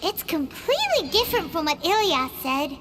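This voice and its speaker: Childlike fairy companion, squeaky, extremely high, chatty, anime game, loud music behind. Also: female voice, big pitch swings, normal speed. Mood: curious. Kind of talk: anime video game dialogue.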